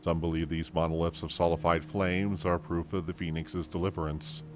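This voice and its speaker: A man voicing a learned fantasy game scholar who guides a traveler in a formal, measured way. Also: sits low, flat delivery, soft background music, lightly acted. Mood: explaining, reverent.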